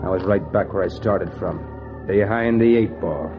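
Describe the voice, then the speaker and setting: Low-voiced hard-boiled noir gambler, dull old recording. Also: male voice, normal speed. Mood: wry, tense. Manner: clipped, wry, slangy noir delivery. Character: hard-boiled, cocky male gambler, broke and money-hungry. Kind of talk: 1940s radio noir acting, first-person narration. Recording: dull vintage radio recording with music. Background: music.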